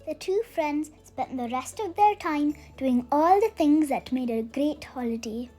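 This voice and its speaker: A storyteller reading a children's bedtime picture book aloud in an expressive way, made for young listeners. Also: high-pitched, measured pace. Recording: music under the voice. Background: music.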